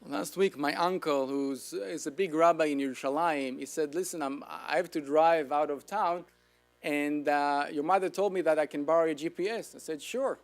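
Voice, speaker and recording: A man's voice: fairly high pitch, normal speed, soft background music. Enthusiastic Israeli man lecturing. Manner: enthusiastic, asks the audience questions. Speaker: speaker. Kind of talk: lecture to an audience.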